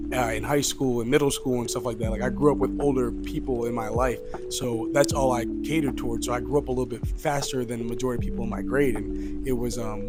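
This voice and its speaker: Rambling twenty-something man ranting, music behind. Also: medium pitch. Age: 20s. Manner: rambling, filler-heavy, says "like" constantly; grows loud and exasperated when riled up. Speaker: youth coach and vlogger. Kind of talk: casual rant to camera.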